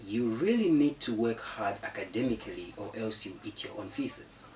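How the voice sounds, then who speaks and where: A man's voice: on the low side, lively intonation, moderate pace, a little mellow, distant mic. A man in his twenties with a Zambian accent, telling his own story as a guest, repeating words and saying "you know". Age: 20s. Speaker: interview guest, leadership coach. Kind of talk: casual personal storytelling. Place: studio. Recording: echoey room, off-mic.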